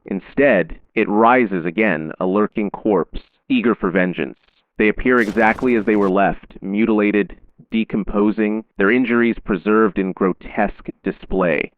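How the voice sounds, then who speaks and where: A man narrating a scripted horror safety bulletin in a measured, solemn, formal announcer manner. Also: sits low, clean tone, no echo, phone-quality sound.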